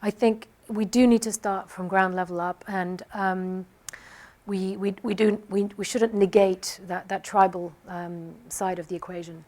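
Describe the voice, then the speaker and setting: A woman's voice: medium pitch, fairly even intonation, a little slow, dark tone. A woman speaking on a panel discussion, answering a question with many hesitations and restarts.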